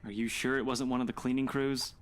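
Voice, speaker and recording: A woman's voice: a bass voice, steady tone, fast, pitched below the performer's own voice. A woman voicing a cool, skeptical young man in a visual novel, dry and aloof with a teasing streak. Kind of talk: visual novel character read-aloud. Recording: background music behind voice.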